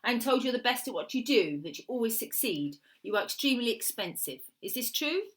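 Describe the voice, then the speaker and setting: A woman's voice: fairly high pitch, sing-song, a little fast, pitched above the performer's own voice. Woman playing a bitter, fragile Italian woman, raised voice. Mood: cool, amused.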